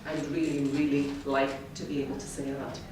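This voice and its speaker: A woman's voice: extremely low pitch, little pitch movement, a little fast. Echoey, distant woman speaker. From Scotland, born in the Highlands. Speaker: club member giving a first speech. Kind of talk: prepared public speech. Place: indoor meeting room, in front of an audience. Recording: very echoey room, distant mic, clearly noisy.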